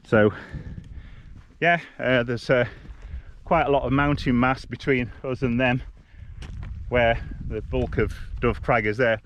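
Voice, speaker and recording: A man's voice: medium pitch, steady tone, a little slow, fairly bright tone, dull audio. A man giving casual, conversational field-test commentary as he vlogs outdoors. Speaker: vlogger, hiking group leader. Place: outdoors on mountainsides. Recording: noisy outdoor recording with clicks.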